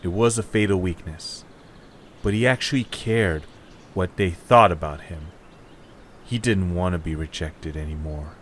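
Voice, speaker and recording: A man narrating an audio drama in a measured, steady storytelling manner. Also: sits low, a little hiss or hum.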